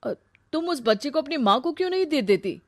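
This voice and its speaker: A woman voicing a charming, persuasive young man in a cartoon story, talking quickly and softly. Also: high voice, animated, no echo, clean studio sound, pitched above the performer's own voice. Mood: concerned. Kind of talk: Hindi cartoon story voice acting.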